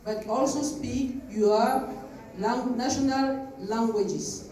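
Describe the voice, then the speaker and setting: A woman's voice: a little high, slow pace, mellow. A Cameroonian woman co-hosting a film club discussion, speaking to a young audience in an engaging, didactic way with questions to the listeners. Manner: engaging, asks questions, switches between French and English. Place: screening hall with audience. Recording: very echoey hall, distant from microphone.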